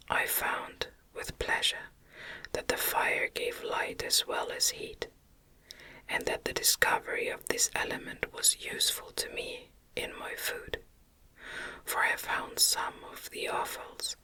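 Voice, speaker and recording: A woman narrating a classic novel in a gentle, breathy ASMR whisper, reading deliberately in softly articulated short phrases. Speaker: audiobook narrator.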